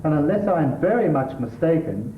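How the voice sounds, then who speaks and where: Sardonic middle-class English husband played by a man, lightish pitch, exasperated, theatrical; tinny, distant stage recording. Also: very animated, moderate pace, echoey room, a little hiss or hum. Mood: lecturing. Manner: exasperated, pedantic, dry sarcasm. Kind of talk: theatrical stage comedy acting.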